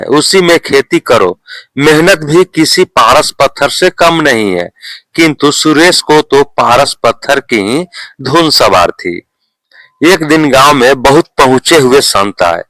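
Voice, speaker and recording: Slow, deliberate male storyteller. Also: medium pitch, bright, forceful tone, dry recording, poor audio. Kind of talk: scripted moral story narration.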